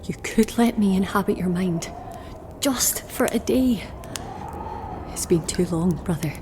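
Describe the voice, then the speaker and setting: A woman playing a bitter, defiant immortal goddess held captive, in measured, brooding audio drama acting. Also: medium pitch, background noise, natural voice. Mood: irritated, yearning.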